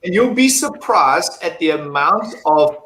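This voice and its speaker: A man's voice: on the high side, lively intonation, measured pace, a bit bright. A man with an English South African accent, chatting loosely as a guest on a live stream, rambling and swearing casually. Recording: roomy mic with faint noise.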